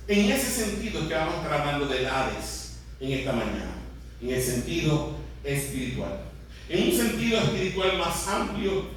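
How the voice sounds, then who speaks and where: High-voiced Puerto Rican pastor in echoey hall. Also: male voice, a bit bright. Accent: Puerto Rican Spanish. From Puerto Rico. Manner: interactive, putting questions to listeners. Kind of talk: teaching sermon to a congregation. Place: large echoey room, church service. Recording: very echoey hall, distant, faint noise.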